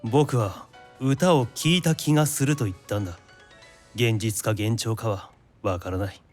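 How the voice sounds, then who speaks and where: An actor playing a haughty, dry-witted young detective in an audio drama, giving orders in a brisk deadpan. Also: male voice, medium pitch, faint music behind, close to an everyday voice. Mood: matter-of-fact. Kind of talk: light audio drama acting.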